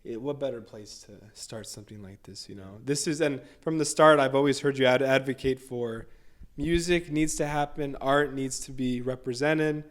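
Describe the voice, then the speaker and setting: A young man hosting an interview in a casual, friendly way, encouraging his guest, whose "you know" asides tail off quietly. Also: mid-range voice, normal speed. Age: young adult. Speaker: interview host.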